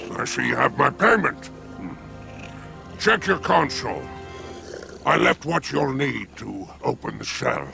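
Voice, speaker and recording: Gravelly, scheming alien crime boss. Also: male voice, mid-range voice, exaggerated voice. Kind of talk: scripted video game dialogue.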